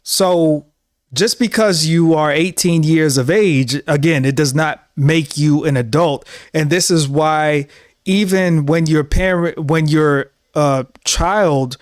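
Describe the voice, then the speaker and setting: A man's voice: a little high, slow, dry recording, clean studio sound. A man in his thirties giving an earnest, preachy talk to camera as a show host, often repeating himself. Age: 30s. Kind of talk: casual monologue to camera.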